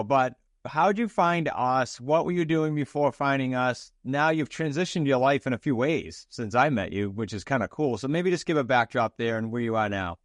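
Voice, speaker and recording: A man's voice: mid-range voice, dry recording, studio quality. A man hosting a podcast, informal and emphatic, repeating words for stress. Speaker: podcast host. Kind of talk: upbeat podcast intro and interview chat.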